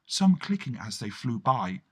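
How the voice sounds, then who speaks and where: A man's voice: medium pitch, big pitch swings, a little slow, husky. A man performing a surreal first-person radio play monologue in a theatrical way, with colloquial asides and sudden exclamations. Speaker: storyteller narrating in first person.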